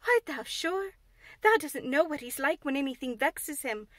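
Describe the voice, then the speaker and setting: Woman reader doing a young maid: high, loud, close-miked, anxious and pleading. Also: animated, moderate pace, no echo. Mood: warning. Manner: dialect-heavy, anxious and pleading. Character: frightened young Yorkshire maid.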